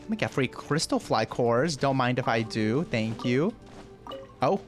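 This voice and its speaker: Casual streamer, exclamatory and sweary, very animated pitch, faint game music underneath. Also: a little slow. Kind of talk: casual live game commentary, reading some text aloud.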